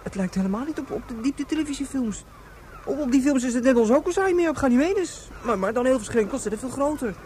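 A performer playing an outspoken, excitable young boy in a science fiction radio drama, exaggerating childishly and repeating words. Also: high-pitched, fast talker, slight background noise. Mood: curious, chatty.

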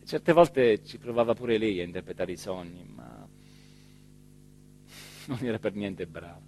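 An actor playing an excitable small-town mayor in a theatrical radio monologue, with an emphatic delivery that swings from hushed to shouted. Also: male voice, fairly low pitch, a little slow, a little hiss or hum. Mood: musing. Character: excitable small-town Italian mayor recounting his dream and a night emergency.

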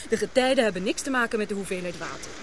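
An earnest young woman played by an actress in a radio drama. She is questioning and expressive, with hesitant phrasing and a mid-pitched voice. The old recording carries faint noise. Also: racing, lightly acted. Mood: doubtful, worried.

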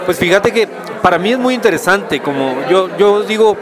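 Guatemalan male musician, high voice, reflective conversational interviewee, busy crowd behind. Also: lively intonation, normal speed. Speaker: guest singer-songwriter.

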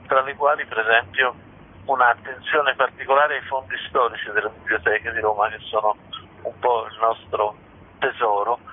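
A man's voice: medium pitch, slight background noise. A man being interviewed on radio, presenting information as an institution's representative over the telephone. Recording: muffled tinny phone line on radio.

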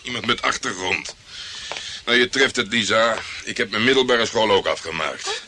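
A man's voice: lowish voice, moderate pace, background noise, lightly acted. An actor playing a brash, self-important hard-boiled male private detective in a radio play, blustering and condescending. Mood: cocky.

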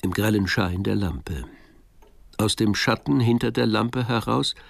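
A man's voice: lowish voice, a little slow, gravelly, no echo. A man narrating a radio drama in a scripted, literary storytelling style. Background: music.

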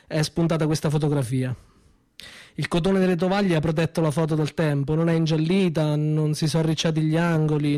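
A young adult man, performing a first-person stage monologue in a conversational, hesitant way, repeating words and dropping softly at times. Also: fairly high pitch.

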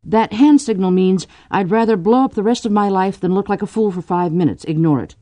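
A woman voicing a brisk, commanding noblewoman who plays matchmaker with wry teasing. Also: medium pitch, mellow, no echo, pitched above the performer's own voice, natural voice.

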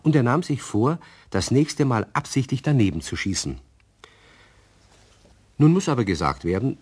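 A man narrating a children's radio play as a calm, explaining storyteller with a husky voice. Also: lowish voice, full character voice.

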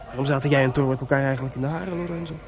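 A man's voice: mid-range voice, fairly even intonation, rapid-fire, very noisy, lightly acted. A man playing an anxious, frustrated court composer in a radio drama, agitated and hesitant in manner. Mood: curious.

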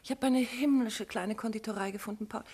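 An actress playing a detective's chatty, observant and affectionate wife in a 1950s radio play, confiding and animated in her storytelling. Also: on the high side, fairly quick, breathy edge. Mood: gossipy, delighted.

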